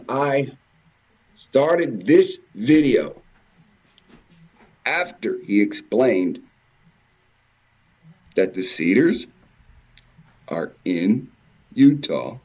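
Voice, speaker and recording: A man giving informal, rambling commentary in a halting way, repeating words and swearing mildly now and then. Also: mid-range voice, sing-song, darkish tone. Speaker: video presenter. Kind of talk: informal rambling commentary over on-screen images.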